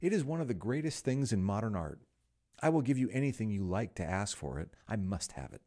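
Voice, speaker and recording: A cynical aristocratic gentleman with an airy, amused manner, as a male reader puts him on. The voice is low and mellow but moves lively through its pitches. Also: a little fast. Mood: covetous.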